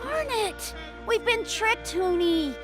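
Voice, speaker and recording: A woman's voice: very high voice, lively intonation, slow, over music, full character voice. A woman voicing a plucky, outspoken young child in a video game, with a childlike voice. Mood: indignant. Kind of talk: video game character acting.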